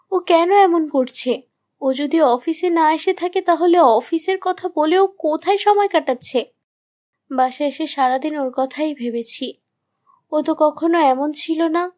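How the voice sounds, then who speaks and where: A woman's voice: high voice, darkish tone. A woman playing an anxious, suspicious young wife who narrates a horror story in a dramatic, troubled manner. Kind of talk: first-person scripted horror story narration.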